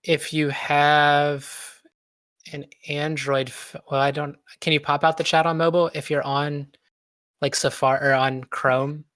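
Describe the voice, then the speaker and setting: A thirty-year-old man talks over voice chat, his sound close and dry with no room around it. His voice is slightly high for a man, and he jokes at his own expense in a laid-back way. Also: little pitch movement, measured pace, a bit bright, no room sound. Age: 30s. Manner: laid-back, self-deprecating humor. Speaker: guest, web developer. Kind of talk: casual livestream chat.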